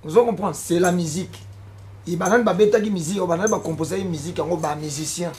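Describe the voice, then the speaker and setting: A man's voice: high voice, fast, a little mellow. A young man from the Congo with a Congolese French accent, a musician answering interview questions in a casual, hesitant way, slipping between French and Lingala. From Democratic Republic of the Congo. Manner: hesitant, with frequent fillers and repeated words. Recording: light background noise.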